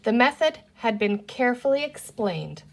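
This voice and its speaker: A woman's voice: a little high, sing-song, normal speed, gravelly. Woman reading a children's story aloud. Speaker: storybook reader. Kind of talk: children's book read-aloud.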